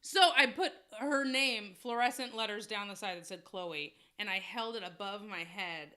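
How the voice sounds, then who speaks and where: A woman's voice: mid-range voice, normal speed, sharp tone. A woman in her thirties, a podcast co-host, telling a story in casual chat, laughing often.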